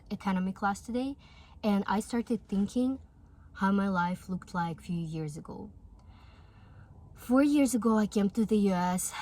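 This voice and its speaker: A woman's voice: medium pitch, darkish tone. A woman in her twenties from Russia with a Russian accent and Russian as her first language. She speaks earnestly and encouragingly in a casual talk to the camera. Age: 20s. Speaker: vlogger.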